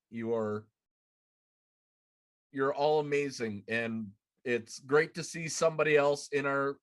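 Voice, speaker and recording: A man's voice: medium pitch, fairly bright tone. A man in his thirties hosting a podcast, chatting casually on a live show from a room at home. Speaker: podcast host. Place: small room at home, indoors.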